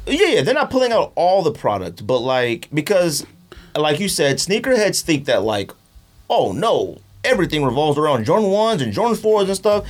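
A man in casual podcast chat, talking in a slangy, animated way and acting out imagined quotes. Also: on the high side, normal speed. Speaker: podcast host.

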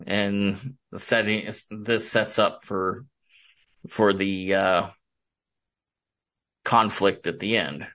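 A man speaking as a guest author in a casual interview, explaining things in a halting, rambling way. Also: fairly low pitch, steady tone, a bit bright. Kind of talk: casual interview chat about his book.

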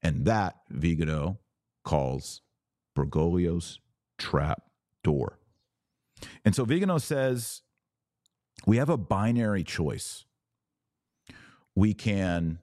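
A middle-aged man hosting a religious commentary podcast, speaking in deliberate, stressed phrases with pauses. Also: sits low, a little mellow, no echo, clean studio sound. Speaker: podcast host. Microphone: close studio microphone.

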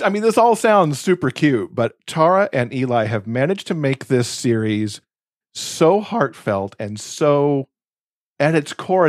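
A man's voice: mid-range voice, no echo, studio quality. A man chatting casually and effusively about books as a podcast co-host, with emphatic delivery. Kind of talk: casual enthusiastic book chat.

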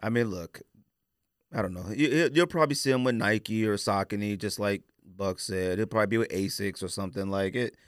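A man's voice: fairly low pitch, fairly quick, clean tone, dry recording, studio quality. A man chatting casually as a podcast co-host, rambling candidly and using plenty of slang.

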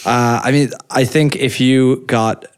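A man with an Irish accent, a startup founder joking and telling stories in a relaxed, casual chat. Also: mid-range voice, smooth. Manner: relaxed, joking, drawn-out storytelling.

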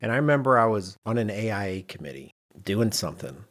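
Man, middle-aged, low pitch, raspy edge, casual podcast host, quote-filled storytelling. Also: very animated, quick pace, fairly bright tone.

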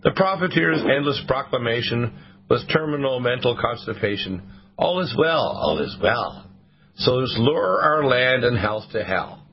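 A hoarse man hosting a talk radio show, reading a poem aloud and stopping often to restart his sentences. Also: medium pitch, sing-song, measured pace. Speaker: host. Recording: dull lo-fi radio broadcast.

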